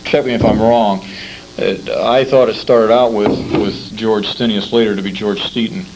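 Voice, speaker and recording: Gravelly man asking careful questions. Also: lowish voice, steady tone, normal speed. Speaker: interviewer. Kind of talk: conversational research interview. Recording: noisy amateur convention interview tape.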